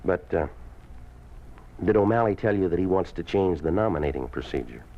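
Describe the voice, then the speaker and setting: A domineering, ruthless party boss in an old radio drama. The voice sits low and gives curt, hectoring orders. The recording is dull and muffled, as from 1950s radio. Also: male voice, lively intonation, brisk. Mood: smooth, probing. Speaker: lead actor in a radio drama.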